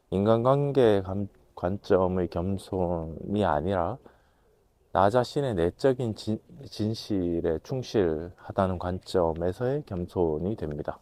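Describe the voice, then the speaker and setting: A man with a voice hoarse from a cold, giving a measured, reflective talk to camera, pausing to think as he explains. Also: low-pitched, mellow, no echo. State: has a cold. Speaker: host, management consultant lecturer.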